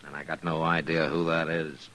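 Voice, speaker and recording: An American actor playing a stern, principled Old West marshal in a terse, deliberate radio western style. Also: male voice, a bass voice, flat delivery, fairly bright tone. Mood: grim, resolved. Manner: terse, deliberate, plain-spoken. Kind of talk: 1950s radio western acting. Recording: vintage 1950s radio recording.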